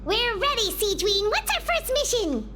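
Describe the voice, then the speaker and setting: A woman voicing a tiny, excitable, squeaky girl companion in a video game. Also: normal speed, heavy background noise. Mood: eager.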